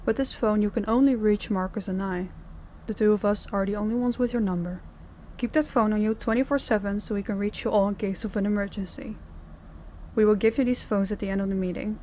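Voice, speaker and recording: A woman's voice: medium pitch, fairly even intonation, fairly quick, mellow, a little hiss or hum, lightly acted. A woman playing a stern crime boss in an audio roleplay, speaking in a commanding, businesslike way. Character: stern female crime boss running her department heads. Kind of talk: scripted audio roleplay acting.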